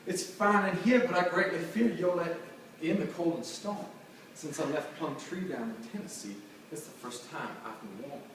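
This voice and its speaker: A man's voice: a little high, fairly bright tone, close to an everyday voice. A man voicing a freezing, dying gold prospector from a ballad, with a pleading manner. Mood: contented. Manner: pleading, halting moan. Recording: very echoey, distant, noisy room.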